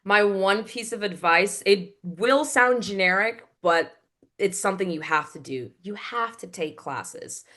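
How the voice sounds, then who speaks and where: A woman voiceover actress speaking as an interview guest, with a powerful voice and fast, crisply enunciated speech over a video call. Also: mid-range voice, expressive, sharp tone. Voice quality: powerful, clear voice. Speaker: voiceover actress and award show announcer.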